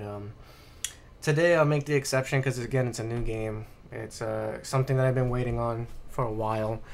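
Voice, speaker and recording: A man's voice: medium pitch, slight background noise. A man co-hosting a livestream as a game streamer, chatting casually.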